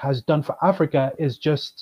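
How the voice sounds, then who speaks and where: A man, a guest speaking in a measured, explanatory way on a video call. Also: fairly high pitch, fairly even intonation. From Kenya. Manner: measured, explanatory, with frequent ums. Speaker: guest, chamber of commerce manager. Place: indoors, plain room. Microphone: webcam on video call. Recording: dull lo-fi video call audio.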